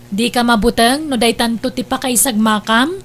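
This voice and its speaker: High-voiced nagging Ilocano big sister played by an actress. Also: female voice, fairly even intonation, moderate pace, close to an everyday voice. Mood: scolding. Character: nagging, worried older sister scolding her brother. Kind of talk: Ilocano radio-style audio drama acting.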